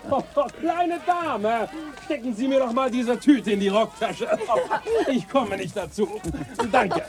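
High-voiced, exuberant eccentric bachelor artist. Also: male voice, slow pace, full character voice. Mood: boisterous, merry. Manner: exuberant, declamatory, chuckling. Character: jovial, eccentric bachelor artist, boisterous and philosophical. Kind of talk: theatrical radio play acting.

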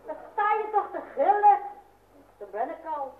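A woman's voice: very high voice, animated, normal speed, heavy background noise. An actress playing a fussy, scolding middle-aged mother in a radio comedy. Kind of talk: old radio comedy-drama acting. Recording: tinny, echoey old radio recording.

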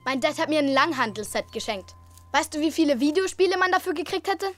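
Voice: high-pitched, quick pace, fairly bright tone, soft background music. An actor playing a scheming, irritable middle-school boy in a German audio drama, with a sarcastic, bossy manner. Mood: grumbling.

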